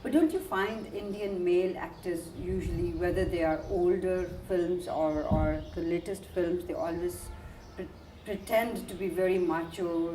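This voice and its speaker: A woman's voice: lowish voice, noisy background. A woman interviewing a guest with probing questions, often hesitating and restarting her sentences with fillers. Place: indoor room in a residential building. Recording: echoey room, archival video.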